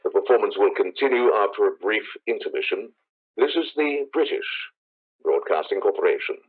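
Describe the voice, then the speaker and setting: An actor playing a formal 1930s radio announcer, reading a scripted station announcement within a radio play. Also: male voice, on the low side, animated, moderate pace, breathy edge. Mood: formal, composed. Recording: tinny band-limited old radio sound.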